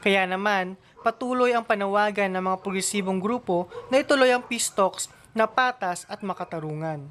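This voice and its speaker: A woman's voice: medium pitch, normal speed, fairly bright tone, slight background noise. A woman reading scripted newsreel narration. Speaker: news narrator.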